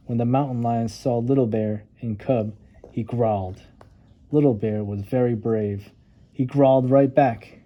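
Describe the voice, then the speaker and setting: A man narrating a children's storybook with expressive, dramatic emphasis on key words. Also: medium pitch, highs cut off. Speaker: storytime reader.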